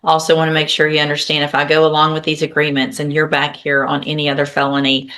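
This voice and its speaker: A woman's voice: sits low, completely flat delivery, fast talker, a bit bright. A woman speaking as a judge, putting formal plea questions in a methodical, procedural way.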